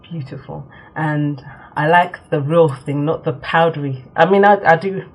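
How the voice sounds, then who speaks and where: A young woman born in Nigeria, chatting casually to camera for her vlog, with many ums and quick laughs. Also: deep voice, husky, rough recording. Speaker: vlogger. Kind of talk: casual talk to camera, answering a tag.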